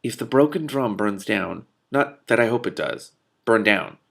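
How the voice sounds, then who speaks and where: A cowed, fat innkeeper from a fantasy storybook, acted out by a man reading aloud. He stammers and rambles evasively in a hesitant, creaky voice. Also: fairly low pitch, animated, a little slow, heavily acted. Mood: nervous, evasive.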